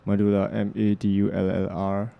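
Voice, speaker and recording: A man's voice: fairly low pitch, completely flat delivery, moderate pace, creaky, lo-fi sound. A young man speaking as an interview guest, reflective and earnest, often restarting his sentences. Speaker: interview guest, filmmaker and music producer. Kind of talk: casual interview talk.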